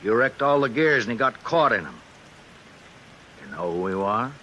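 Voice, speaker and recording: A man's voice: mid-range voice, big pitch swings, moderate pace, sharp tone, muffled recording. An actor playing a weary, fatherly sheriff with an American accent, needling in a folksy, dry way in an old radio drama. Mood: dry reproach. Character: weary, wry small-town sheriff, fatherly but needling. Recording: hissy old radio recording with rain.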